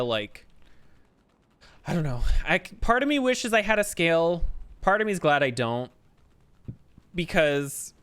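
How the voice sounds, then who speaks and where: A man's voice: fairly high pitch, fairly bright tone. A man in his twenties chatting casually while playing a game, rambling, swearing freely and joking about himself. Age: 20s. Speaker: streamer.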